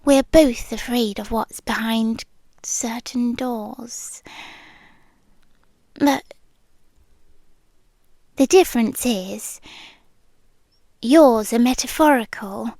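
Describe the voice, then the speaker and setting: A woman acts out a possessive, teasing phantom girlfriend with a British accent. Her voice is high and soft, often slipping toward a whisper. She takes her time, lingering in slow, deliberate phrases, very close and dry on the microphone in an intimate ASMR roleplay. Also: no echo. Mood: hushed, eerie. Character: possessive, teasing yandere girlfriend spirit living in listener's head.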